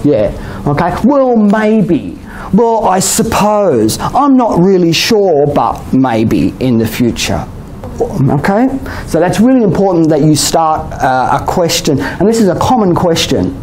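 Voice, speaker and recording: A man with an Australian accent giving a relaxed exam-prep lecture to a class, often checking in with "okay?" and "you know?". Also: on the high side, unhurried, slight background noise. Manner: chatty, many "okay?" and "you know?" tags, laughs often. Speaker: IELTS teacher.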